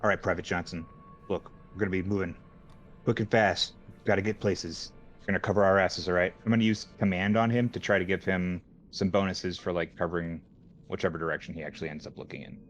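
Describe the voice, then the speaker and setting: A man's voice: low voice, fairly even intonation, fast, highs cut off. A man playing a tabletop game, casually moving between game talk and speaking in character. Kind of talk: casual in-character role-play. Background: faint music.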